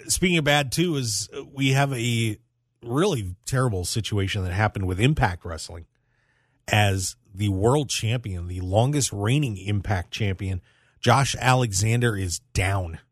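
A man's voice: on the low side, a little slow, no room sound, studio quality. A man hosting a sports talk radio show in a casual, conversational way, addressing his listeners directly.

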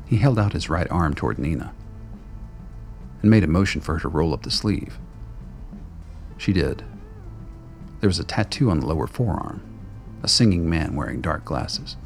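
A man's voice: rumbling low, expressive, normal speed, creaky, pauses often, faint music behind. A man reading scripted narration as the narrator of a radio drama.

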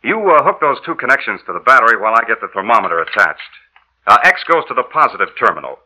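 A man's voice: mid-range voice, big pitch swings, quick pace, bright, forceful tone, exaggerated voice, poor audio. An actor playing a trusting, earnest inventor betrayed by his partner, delivered with emphatic old radio horror drama acting. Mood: eager, excited. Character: trusting, eager male inventor, now a bitter dead narrator. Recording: old 1930s-40s radio broadcast recording.

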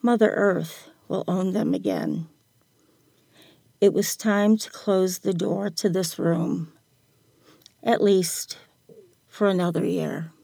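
Older woman, story reading, unhurried pace, gravelly creak, mellow tone, close dry sound. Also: on the low side, steady tone, dry recording. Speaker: guest writer reading own story.

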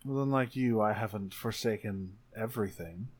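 A man's voice: lowish voice, normal speed, natural voice. A man voicing a proud, teasing female rebel musician in a theatrical, challenging manner for a tabletop game. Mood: teasing challenge.

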